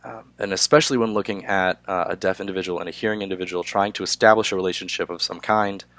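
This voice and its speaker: Young male student, hoarse with a cold, very low voice, halting delivery, dry room. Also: fairly even intonation, fairly bright tone, no echo. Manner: halting, many filler "uh"s. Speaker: student presenter. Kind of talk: class presentation.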